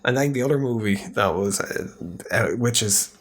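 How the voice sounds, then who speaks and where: A man co-hosting a podcast, chatting casually in a rambling, animated way and often swearing. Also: medium pitch, normal speed. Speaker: podcast co-host. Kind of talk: casual chat.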